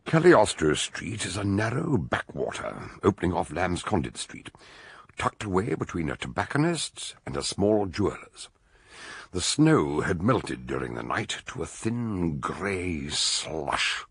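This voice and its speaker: An actor playing an eccentric, shrewd detective doctor in a radio drama, husky voice with a wry, ruminative manner. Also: male voice, low voice, big pitch swings, unhurried, heavily acted. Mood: musing, curious. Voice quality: husky, gravelly. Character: eccentric, ponderous male amateur detective doctor, shrewd and curious.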